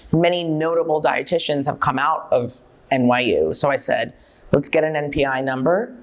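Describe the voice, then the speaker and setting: Low-voiced, self-assured woman telling her story. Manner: emphatic, self-assured, candid. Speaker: company founder and chief executive, dietitian. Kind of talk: on-stage interview, personal storytelling.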